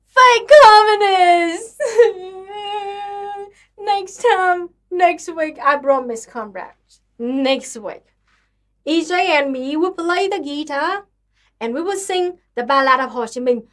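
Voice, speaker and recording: High-voiced Vietnamese-accented woman, tired. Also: takes it slowly, pauses often, a bit bright. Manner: chatty, apologetic, self-deprecating. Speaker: livestream host. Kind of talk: casual livestream chat with singing.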